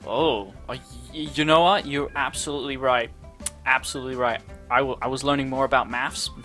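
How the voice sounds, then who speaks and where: A woman game streamer giving casual, expressive, giggly let's play commentary. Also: a bass voice, brisk, faint music behind.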